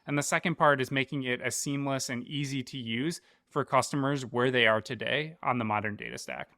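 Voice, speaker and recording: A man with a medium-pitched voice speaks as a startup co-founder being interviewed. He explains his points in a dry recording with hardly any room echo. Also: expressive, a little fast, sharp tone. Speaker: tech company co-founder, interview guest.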